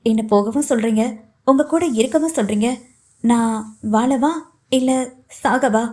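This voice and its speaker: A woman's voice: fairly high pitch, unhurried, mellow, close to an everyday voice. A woman reading an audiobook, voicing a grieving young widow who answers firmly and curtly. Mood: defiant.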